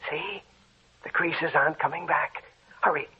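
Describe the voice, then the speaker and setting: An actor playing a methodical, commanding mad scientist who gives terse, urgent orders in old radio drama style. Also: male voice, on the high side, unhurried, slightly rough. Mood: intent, urgent. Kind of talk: 1940s radio drama acting. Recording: dull, very noisy vintage radio recording.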